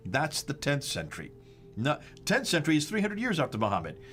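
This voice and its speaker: A man's voice: on the high side, wildly swooping pitch, a little fast, a bit bright, faint music behind. A man with an American accent hosting a discussion, walking through slides with emphatic rhetorical questions and stressed dates. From United States. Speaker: host.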